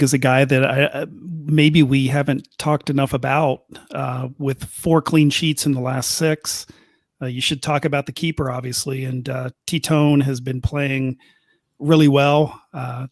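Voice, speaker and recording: A man with a Midwestern American accent giving casual soccer analysis in a measured way, often pausing with fillers. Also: mid-range voice, fairly even intonation, no echo, clean studio sound. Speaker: co-host.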